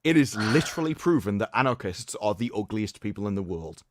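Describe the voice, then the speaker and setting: A man's voice: fairly low pitch, lively intonation, fairly quick, no echo. A man hosting a livestream, doing a mock-dramatic reading with commentary in a theatrical, sarcastic, mock-solemn manner. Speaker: livestream host.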